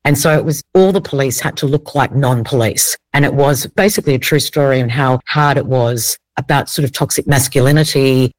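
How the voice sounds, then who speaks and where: A woman's voice: extremely low pitch, no room sound, rough recording. A woman with an Australian accent, talking conversationally as a casting director being interviewed.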